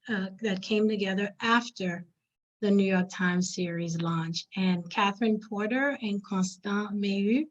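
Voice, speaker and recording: A woman whose native tongue is Haitian Creole, hosting an online panel and giving earnest, measured closing thanks with pauses. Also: mid-range voice. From Haiti or Haitian diaspora. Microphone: video call. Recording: dull lo-fi video call audio.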